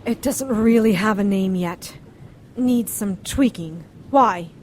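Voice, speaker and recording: A woman's voice: mid-range voice, takes it slowly, a little hiss or hum, close to an everyday voice. An actress playing a fierce, defiant woman doctor in an audio drama, speaking in a curt, commanding way. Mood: brisk.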